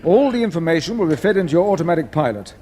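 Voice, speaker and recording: An actor playing an authoritative space mission controller in 1950s British radio drama, formal, clipped and measured in his delivery. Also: on the high side, very animated, mellow. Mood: firm, serious. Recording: noisy vintage 1950s radio recording.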